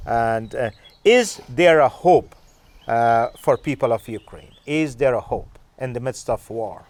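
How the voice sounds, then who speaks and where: A man from Afghanistan, hosting a podcast interview and asking questions in halting English full of "uh" fillers. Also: mid-range voice, animated, a little mellow, no echo. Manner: halting, frequent "uh" fillers, non-native phrasing. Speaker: podcast host.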